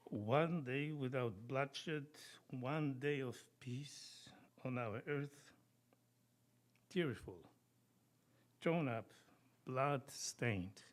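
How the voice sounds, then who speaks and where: A man reading his own poems and stories at a live event in a deliberate, measured way. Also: mid-range voice. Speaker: poet reading own work. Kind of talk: live poetry reading with storytelling.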